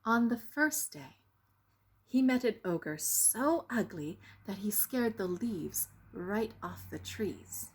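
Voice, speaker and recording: A woman reading a children's bedtime story expressively, with deliberate dramatic pauses. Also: medium pitch, lively intonation, breathy edge. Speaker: storyteller reading a picture book.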